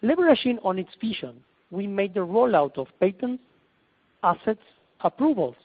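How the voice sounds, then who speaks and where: High husky man on phone line. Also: big pitch swings, moderate pace. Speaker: company executive presenting to investors. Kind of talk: scripted investor presentation. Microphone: telephone conference call. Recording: muffled, narrow phone conference line.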